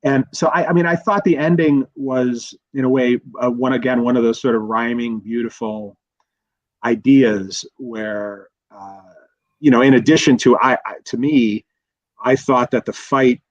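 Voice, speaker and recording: Man, casual analysis, halting repeated words, dry close sound, dull audio. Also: medium pitch, fairly even intonation, no echo. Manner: halting, repeats words while thinking aloud. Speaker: guest expert.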